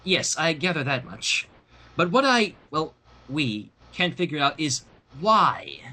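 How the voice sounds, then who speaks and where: A man voicing a polite, earnest young female pony princess with a hesitant, deliberate manner in a storybook reading. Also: a little high, very animated, sharp tone, heavily acted. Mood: puzzled.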